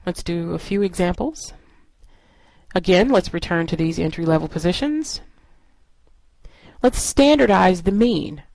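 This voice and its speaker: Low-pitched woman instructor, close dry sound. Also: animated, unhurried, creaky, long pauses, darkish tone, dry recording, rough recording. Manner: explanatory, step-by-step. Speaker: statistics instructor. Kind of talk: instructional lecture narration.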